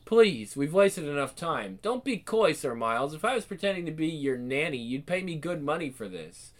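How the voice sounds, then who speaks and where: A woman's voice: sits low, big pitch swings, moderate pace. An actress playing a cold, domineering female villain who gives orders and mocks her servant in a radio drama. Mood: mocking. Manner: icy, commanding, mocking.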